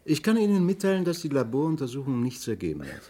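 An actor playing a probing, sceptical police inspector in a vintage crime radio play, with a dry, clipped way of talking. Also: male voice, mid-range voice, sing-song, fast, dark tone. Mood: politely businesslike.

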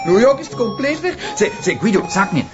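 A man's voice: sits high, big pitch swings, normal speed, muffled recording, over music. An actor playing a playful, exuberant German man who mixes German into his Flemish Dutch in a TV crime drama. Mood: excited, childlike. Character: flamboyant, playful, tipsy German man, video-game fan.

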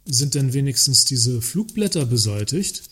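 An actor playing a concerned, deferential employee in a radio play dialogue. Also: male voice, mid-range voice, big pitch swings, moderate pace, a bit bright. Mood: concerned, polite.

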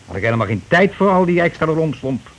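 An actor playing a scheming, bossy working-class husband who talks glibly and colloquially in a radio play, always justifying himself. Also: male voice, fairly high pitch, very animated, quick pace. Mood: glib, persuasive. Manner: glib, colloquial, self-justifying. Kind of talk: Dutch radio play acting. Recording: noisy old radio play recording.

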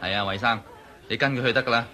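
A man's voice: mid-range voice, fast, bright, forceful tone, some chatter behind. A man playing a talkative, sly Egyptian hotel waiter in a radio drama, wordy and self-justifying. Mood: businesslike. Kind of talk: Cantonese radio drama acting.

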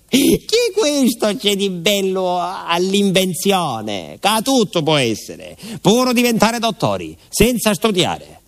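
An actor playing a naive, talkative village postman with a southern Italian accent, telling his story in a confiding, halting radio monologue. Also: high-pitched, huge pitch swings, heavily acted. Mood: animated, confiding. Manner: folksy, confiding, with hesitations and repetitions.

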